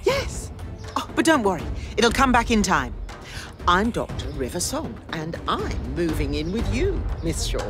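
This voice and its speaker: Swooping, self-assured adventuress over music. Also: female voice, medium pitch, sing-song, unhurried. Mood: breezy, assured. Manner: arch, teasing, commanding. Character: brash, flirtatious, self-assured adventuring woman archaeologist. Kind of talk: audio drama acting. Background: dramatic music.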